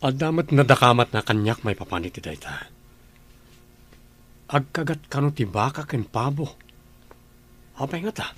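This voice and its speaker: A man's voice: mid-range voice, expressive, moderate pace, long pauses, fairly bright tone. A man playing a worried father and boss who questions patiently, in a radio drama. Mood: concerned.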